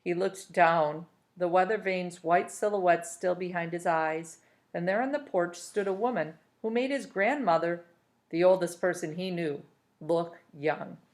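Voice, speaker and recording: A woman's voice: on the low side, measured pace, a little mellow. Woman reading a novel aloud. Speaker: storybook reader.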